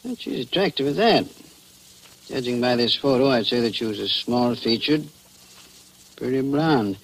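An actor playing a wry, dogged small-town police chief in a 1940s radio drama, folksy and full of probing questions. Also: male voice, medium pitch, lively intonation, moderate pace, poor audio. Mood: probing, polite. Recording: hissy old radio recording.

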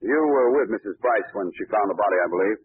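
An actor playing a brusque, commanding police commissioner in an old radio drama. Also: male voice, fairly low pitch, expressive, fast talker, narrow, tinny audio, slight background noise, natural voice. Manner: curt, commanding. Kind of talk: 1940s radio acting.

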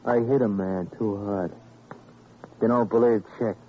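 An American actor playing a surly, cocky prizefighter in a radio crime drama, laconic, defiant and quietly menacing. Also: male voice, fairly low pitch, fairly even intonation, a little slow, lightly acted. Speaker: supporting actor. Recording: muffled, faintly noisy old radio recording.

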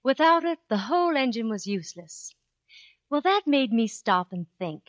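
A woman's voice: a little high, big pitch swings, normal speed, no room sound. A woman giving a scripted 1940s radio sponsor talk. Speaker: sponsor spokeswoman. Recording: dull, dry old radio recording.

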